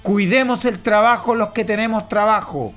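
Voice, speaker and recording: A man's voice: sits high, normal speed, a bit bright, soft background music. A Chilean man with a Chilean accent giving a pompous, boastful comic political rant, swearing freely. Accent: Chilean Spanish. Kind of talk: comic political character monologue.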